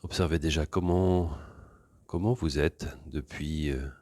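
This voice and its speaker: A man leading a yoga class, giving calm, unhurried guided instructions for body awareness and breathing. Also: a bass voice, no room sound.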